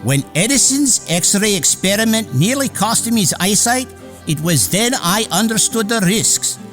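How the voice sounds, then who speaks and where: Radio drama lead: proud, courtly inventor, formal and measured yet highly animated in pitch, light music underneath. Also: male voice. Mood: proud, reflective. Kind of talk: radio theater drama acting.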